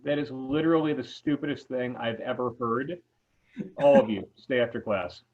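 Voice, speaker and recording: A man playing an exasperated but game high school teacher in an amateur script read over a video call. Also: moderate pace, darkish tone, lightly acted. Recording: tinny phone-like video call.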